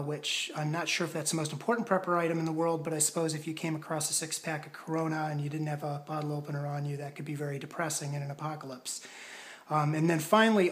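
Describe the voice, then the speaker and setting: Man casually demonstrating a product, hesitant. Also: a little high. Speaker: product reviewer.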